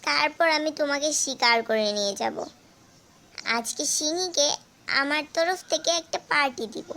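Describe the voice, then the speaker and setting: A very high-pitched cartoon mother goat, played by a woman, sly and protective. She talks in a coaxing, glib way, sweet-talking like a born persuader. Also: moderate pace, vocal fry, bright, forceful tone, heavily acted. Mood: sly flattery. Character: clever mother goat, sly flatterer protecting her kid. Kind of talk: animated fable character acting.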